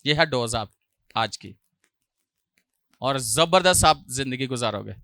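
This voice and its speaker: A male pastor, preaching a sermon with a sore throat, often clearing it and rising loudly on hallelujah. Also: medium pitch, animated, slow pace, no echo, clean studio sound. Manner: preaching with loud rises on hallelujah and jokey asides.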